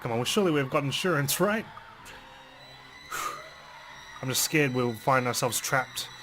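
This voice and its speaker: A man's voice: medium pitch, animated, measured pace, music in the background. A man hosting a let's play, reading a visual novel aloud dramatically and commenting on it.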